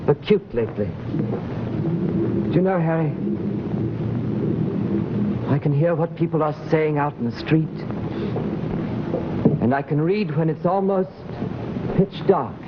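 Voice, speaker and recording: A fervent mystic speaks with insistent, obsessive conviction. His voice sits fairly high for a man. It comes through a dull, clearly noisy old radio drama recording. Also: animated, takes it slowly, pauses often. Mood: rapt, weary. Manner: intense, earnest, insistent. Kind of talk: 1940s American radio drama acting.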